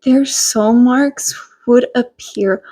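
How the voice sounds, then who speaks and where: A woman's voice: a little high, extremely slow, dark tone. A woman reading a scripted story aloud as an amateur audiobook narrator.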